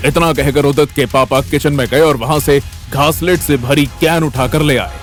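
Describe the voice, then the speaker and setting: A man's voice: on the high side, fairly even intonation, moderate pace, faint music behind. A man narrating a scripted Hindi audio story in a dramatic style.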